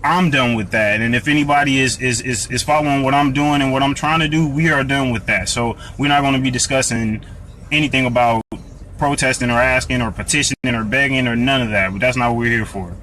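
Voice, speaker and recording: Earnest American man, bright forceful voice. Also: medium pitch, brisk, a little hiss or hum, poor audio. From United States. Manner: earnest and preachy, with frequent restarts and repeated words. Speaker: livestream host answering viewer comments. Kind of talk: live Q&A talk.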